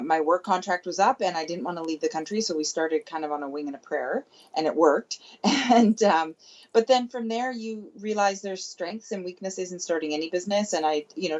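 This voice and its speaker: A Canadian woman with a lowish voice speaks cheerfully and laughs easily as a guest. The sound is dull and lo-fi, like audio from a remote call. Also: brisk. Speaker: chiropractor guest. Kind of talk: casual interview chat.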